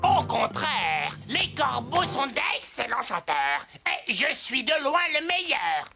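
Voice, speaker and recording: A woman playing a vain, touchy crow in an animated series, boasting and indignant in a pompous manner. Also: a little high, slow, creaky, over music, exaggerated voice. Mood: boastful. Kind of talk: animated series character acting.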